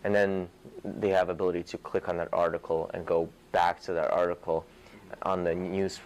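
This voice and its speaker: A man with a Ukrainian accent explaining his startup in a news interview clip, speaking slowly and carefully. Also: deep voice, level tone, a little mellow, poor audio.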